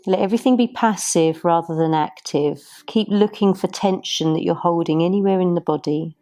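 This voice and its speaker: Soothing low-voiced woman teaching yoga. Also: soft background music. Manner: soft, soothing, unhurried guidance. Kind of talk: guided yoga class instruction.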